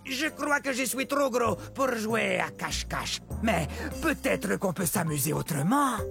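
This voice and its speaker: An actor playing an expressive, friendly dinosaur who talks to young viewers in an educational children's cartoon, with music behind. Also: medium pitch, unhurried, gravelly. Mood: sheepish, friendly. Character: huge clumsy friendly dinosaur; small boastful excitable dinosaur.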